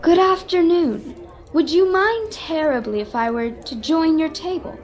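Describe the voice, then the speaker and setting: A mysterious adviser in a radio fantasy serial, acted by a woman, speaks in a high voice. She is courteous and measured, choosing formal words as a knowing patron would. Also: darkish tone, dull audio, a little hiss or hum. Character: mysterious cloaked woman, well-spoken adviser and quest-giving patron. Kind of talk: radio-serial adventure acting. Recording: home video-call recording.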